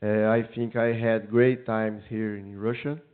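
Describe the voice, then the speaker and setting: A man with a Brazilian accent and a lowish voice gives a brief speech of thanks. He speaks as a senior resident. The recording is thin and narrow, as if over a telephone. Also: flat delivery, a little slow, clear, resonant tone. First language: Portuguese. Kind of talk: short thank-you speech. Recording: narrow tinny phone-like sound.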